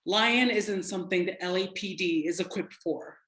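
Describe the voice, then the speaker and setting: A woman playing a stern, sharp-tongued law enforcement agent who speaks with clipped authority in a drama table read. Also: fairly low pitch, normal speed, natural voice. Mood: scolding. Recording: roomy, echoing room sound.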